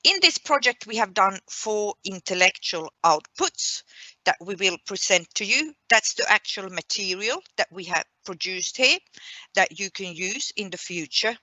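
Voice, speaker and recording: Woman, webinar presenter, bright clear-cut tone, unhurried pace, explanatory delivery. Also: medium pitch, animated, no echo, poor audio. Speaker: project coordinator hosting a webinar.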